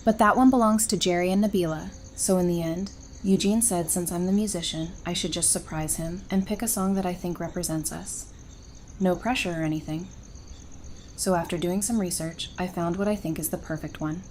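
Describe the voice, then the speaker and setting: A woman's voice: on the low side, steady tone, brisk, a little mellow, natural voice. Woman playing casual, playful bride, faint background noise. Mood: playful, affectionate. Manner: casual, wry, conversational. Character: Talissa, easygoing, playful bride and musician. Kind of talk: audio drama acting. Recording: faint outdoor night ambience noise.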